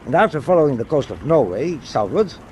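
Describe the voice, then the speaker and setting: An actor playing a grave, calculating German tanker captain in a wartime radio drama, giving orders in a measured, formal way. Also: male voice, a little high, sing-song, darkish tone, a little hiss or hum.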